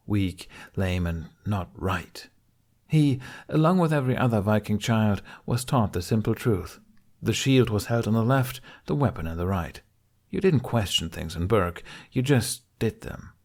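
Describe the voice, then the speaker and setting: A man's voice: fairly low pitch, vocal fry, darkish tone. A man reading a fantasy adventure story aloud as a scripted audiobook narration.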